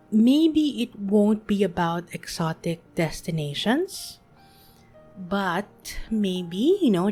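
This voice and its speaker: Slow, soothing woman in her late thirties. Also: mid-range voice. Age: 30s. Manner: gentle, soothing, unhurried. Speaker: podcast host. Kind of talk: soft-spoken reflective monologue.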